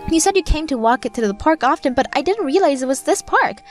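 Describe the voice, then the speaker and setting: A woman's voice: high voice, animated, fast, soft background music. A woman voicing a polite, sweet young hostess with a kind manner in an anime-style manga dub. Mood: cheerful, friendly. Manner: polite, soft-hearted.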